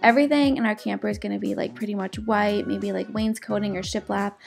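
A woman's voice: mid-range voice, steady tone, brisk, vocal fry, faint music behind. A woman doing a casual, chatty crafting voice-over for her vlog. She is sick, and her voice cracks now and then. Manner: chatty, upbeat, fillers. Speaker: DIY vlogger. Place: home, indoors.

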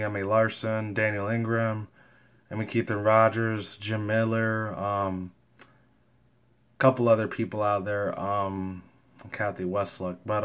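Rambling, slangy male vlogger. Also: on the low side. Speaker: YouTube host and fan comic dubber. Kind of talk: casual vlog commentary.

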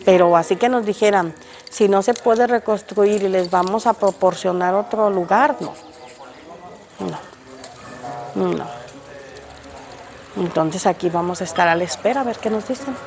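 An older woman with a Mexican Spanish accent, answering a reporter's questions outdoors on the street in front of her home. She speaks in a hesitant, halting way with frequent restarts. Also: medium pitch, a crowd in the background. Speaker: interviewed resident. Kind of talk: street interview. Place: outdoors on a street by a house gate.

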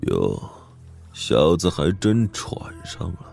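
Gravelly, haughty ancient sword master. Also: male voice, low-pitched, wildly swooping pitch, a little mellow, faint music behind, full character voice. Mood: haughty defiance. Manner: scornful, unhurried, archaic self-important phrasing. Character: haughty hundred-year-old male sword master. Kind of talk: audio drama acting.

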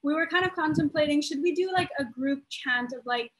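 A woman's voice: high voice, fairly quick. A nineteen-year-old woman hosting a youth group video call, chatty and upbeat, laughing often. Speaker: host, youth group founder. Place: small room indoors. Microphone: laptop webcam, video call.

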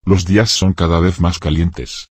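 A man reading short phrases for learners, slow and deliberate, one phrase at a time. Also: low-pitched, steady tone, slightly rough, dry recording. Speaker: phrase reader.

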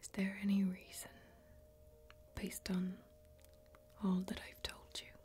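A young woman whispers close to the mic in an even, barely varying tone. She is playing a solemn, mysterious fantasy warrior in an ASMR role-play, unhurried and deliberate with each phrase. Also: mid-range voice. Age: young adult. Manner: hushed, slow, deliberate, intimate. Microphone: close microphone.

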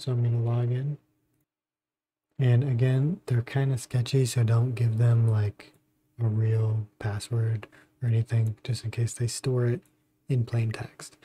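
A man with a very dark, muted tone walks through software on screen. He talks slowly and casually, halting between phrases as he explains each step. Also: medium pitch. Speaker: tutorial host. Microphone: close budget desktop microphone.

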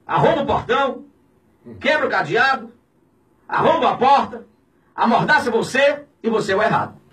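A man in his fifties with a Brazilian accent, a pastor speaking out in an indignant, preaching tone on the radio, swinging between shouts and low, heavy words. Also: lively intonation, normal speed, far from the mic. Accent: Brazilian Portuguese. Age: 50s. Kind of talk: impassioned radio commentary. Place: small radio studio. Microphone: studio mic on a boom, filmed from a distance.

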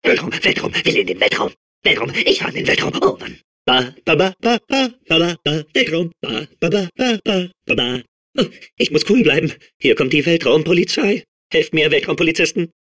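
A man playing a manic, childlike robot sphere obsessed with outer space in a video game, babbling and repeating himself in a strained, husky voice. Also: a little high, normal speed. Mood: giddy, excited, then manic excitement. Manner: excitable, babbling, repeating words compulsively. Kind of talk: video game character voice clips.

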